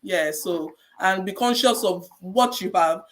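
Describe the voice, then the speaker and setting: Encouraging Nigerian woman, measured advice. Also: medium pitch. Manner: measured, encouraging, repeats key points. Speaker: registered nurse guest. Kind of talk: podcast interview advice.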